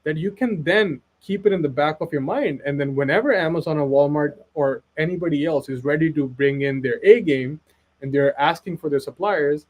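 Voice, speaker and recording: A man's voice: on the high side, a little mellow, poor audio. A man from Pakistan speaking as an expert guest in a casual webinar chat, earnest and persuasive, often tagging "right?" onto his points.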